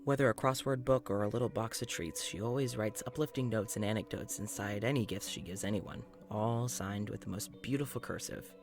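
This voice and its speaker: A man's voice: fairly low pitch, brisk, few pauses, over music, close to an everyday voice. A man playing a weary, rambling doctor in an audio-drama journal, musing aloud in a hesitant, self-conscious way. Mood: fond, casual. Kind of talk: intimate audio-journal monologue in a fiction podcast.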